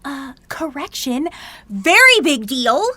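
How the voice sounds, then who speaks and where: A woman's voice: sits high, sing-song, slow, pushed and bright, dry recording, exaggerated voice. A woman playing a cheeky, boastful, nerdy teenage best friend in an audio drama, with flippant, teasing, slangy talk. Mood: boastful, animated.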